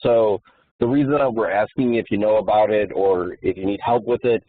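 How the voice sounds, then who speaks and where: Man, lowish husky voice, blunt informal explainer, call co-host, narrow phone audio. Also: normal speed, no echo. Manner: blunt, plain-spoken. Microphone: telephone. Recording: muffled, narrow phone conference line.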